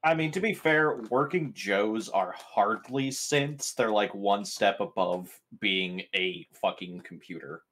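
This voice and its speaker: Deep-voiced man in casual chat. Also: takes it slowly, hardly any pauses, a bit bright. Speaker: tabletop game player.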